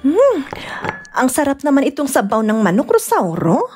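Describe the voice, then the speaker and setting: A woman's voice: sits high, huge pitch swings, moderate pace, music in the background. A woman playing a long-suffering, pleading young wife and mother in an audio drama, plaintive and nagging. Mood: pleased. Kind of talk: Tagalog-Ilocano radio-style drama acting.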